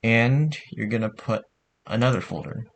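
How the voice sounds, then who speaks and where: A man's voice: medium pitch, moderate pace, creaky, slight background noise. A man giving a casual spoken tutorial in an informal, offhand way. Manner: informal, offhand, mildly sweary. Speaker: tutorial narrator.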